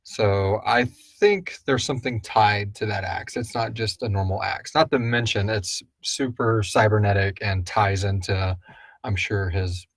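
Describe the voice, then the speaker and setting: A man's voice: on the low side, unhurried, fairly bright tone, slightly rough, dry recording. A man hosting a casual live stream, chatting informally and chummily with viewers in a rambling way. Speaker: podcast host answering listener questions.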